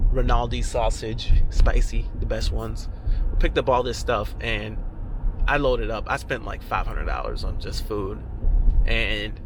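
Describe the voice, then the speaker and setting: A congested man talking frankly to camera outdoors in a casual vlog, rambling with many restarts. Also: medium pitch. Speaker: vlogger, lawn care business owner. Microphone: action camera.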